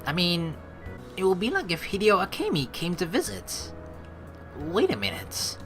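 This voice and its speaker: Expressive, bright-voiced woman doing game commentary. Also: lowish voice, normal speed. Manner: playful, expressive, rambling. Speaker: let's play host. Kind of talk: casual game playthrough commentary mixed with reading narration aloud. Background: game background music.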